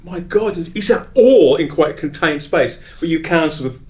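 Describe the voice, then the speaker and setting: A man's voice: on the high side, animated, normal speed, roomy sound. A man co-hosting a podcast in casual chat, rambling and full of jokey asides. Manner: rambling, joking. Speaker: podcast co-host.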